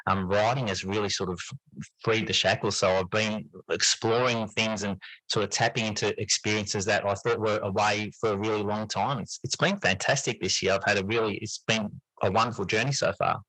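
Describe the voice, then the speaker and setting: A man's voice: fairly low pitch, steady tone, fairly quick, a bit bright, no echo, rough recording. A man answering as an interview guest in a reflective, conversational way, thoughtfully searching for his words and often repeating them. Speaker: interview guest, teacher and writer.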